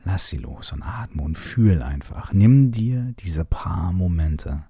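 A man with a very deep, husky voice talks a listener through a body-shaking exercise. His instructions repeat in a soft, half-whispered way, casual enough to slip in a curse. The sound is dry and close, with no room around it. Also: lively intonation, takes it slowly, dry recording. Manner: repetitive spoken instructions with casual swearing. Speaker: movement exercise guide. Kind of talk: guided shaking meditation.

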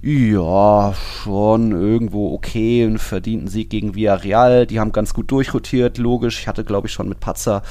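A man with a fairly low voice talks football casually as a podcast co-host. He sounds colloquial and opinionated, and the recording is close and dry. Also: steady tone, normal speed, few pauses, warm tone, no room sound.

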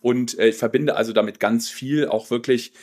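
A man in his forties with a loud, commanding voice, telling his own story as an interview guest in a reflective, halting way. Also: medium pitch, fairly even intonation. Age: 40s. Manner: reflective, halting with frequent pauses and repeated words. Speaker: podcast guest, personal development coach.

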